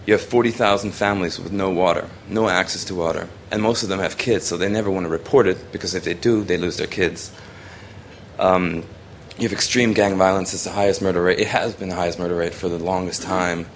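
Low-voiced young man, rambling talk. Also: fairly even intonation, a little fast, slight background noise. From Hong Kong. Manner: rambling, many ums and restarts. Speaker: student photographer presenting his work. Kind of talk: casual spoken presentation.

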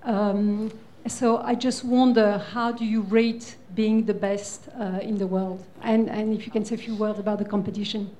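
A woman from New Zealand, a foreign correspondent, asking a panel a question politely and haltingly. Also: mid-range voice, little pitch movement, warm tone, slight background noise. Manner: polite, halting with many uhs.

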